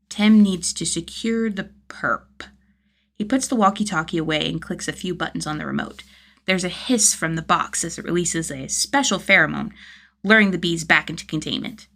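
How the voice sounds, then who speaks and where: A woman reading a story as narrator, in expressive scripted narration. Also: on the low side. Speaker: podfic narrator.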